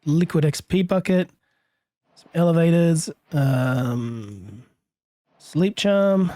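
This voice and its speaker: A hoarse man, a little under the weather, casually musing aloud as he narrates his game session and chuckling often. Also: on the high side, slow pace, mellow, dry recording. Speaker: let's play host. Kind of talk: gameplay commentary.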